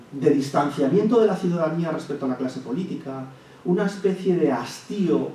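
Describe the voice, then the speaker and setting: Man, public lecture, hesitant restarts, slightly high voice, distant mic, echoey hall. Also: dark tone. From Spain. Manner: measured, thinking aloud with many restarts and hesitations. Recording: echoey hall, from far off, faint hiss.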